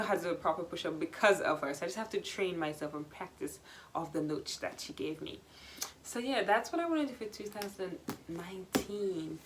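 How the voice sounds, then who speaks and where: A young adult woman talking casually and quickly to camera at home, chatty, laughing often, with lots of ums and repeated words. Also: fairly low pitch, slight background noise. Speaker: vlogger.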